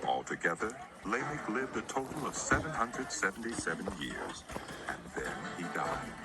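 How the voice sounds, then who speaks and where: Male narrator, deep voice, animated intonation, dramatized Bible reading, strong music underneath. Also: normal speed. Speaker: scripture narrator. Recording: music behind the voice. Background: prominent music.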